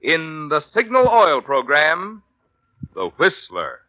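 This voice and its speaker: Emphatic male radio announcer, lively pitch swings. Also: takes it slowly, no echo. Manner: emphatic, polished salesmanship. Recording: dull 1940s radio broadcast, faint music.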